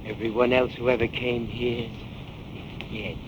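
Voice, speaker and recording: An actor playing a cold, menacing seafaring killer in a 1940s radio crime drama, gruff and threatening. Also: male voice, mid-range voice, level tone, a little slow, lo-fi sound, natural voice. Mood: cold, grim. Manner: gruff, threatening, blunt commands. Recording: noisy old 1940s radio recording.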